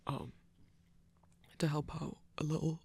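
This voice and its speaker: A flustered hunter played by an actor in an intimate ASMR drama, speaking slowly and haltingly with plenty of stammering. The voice is breathy and creaky, recorded very dry. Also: mid-range voice, flat delivery, dark tone, no room sound. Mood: flustered, evasive. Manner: halting, stammering, awkward, sighing. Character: flustered, protective werewolf hunter in love. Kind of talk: intimate ASMR roleplay acting.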